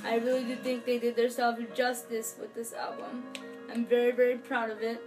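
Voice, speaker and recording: This is a young woman with a high voice, chatting casually to a camera in her bedroom. She sounds earnest and gushing, like a devoted fan, and often hesitates or lets a thought trail off. Music plays clearly behind her. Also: monotone, normal speed. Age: young adult. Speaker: vlogger, fan album reviewer. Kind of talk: casual unscripted review to camera. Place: small furnished bedroom, indoors. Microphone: camera or webcam a few feet away.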